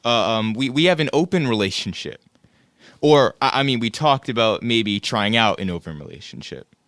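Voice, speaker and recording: A man's voice: medium pitch, expressive, moderate pace, fairly bright tone, dry recording, clean studio sound, close to an everyday voice. A man playing a cocky, clueless young guy in an audio play, with a casual, self-justifying way of talking. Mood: defensive, flustered. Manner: casual, stammering when defensive.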